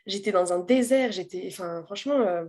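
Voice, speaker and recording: A woman giving a personal testimonial as a guest, speaking candidly and informally in a halting, emphatic way. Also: medium pitch, darkish tone. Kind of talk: casual personal storytelling.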